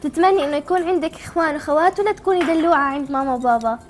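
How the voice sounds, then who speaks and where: A woman's voice: high voice, rapid-fire. A woman hosting a chatty live TV call-in, asking simple, encouraging questions. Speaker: TV show host.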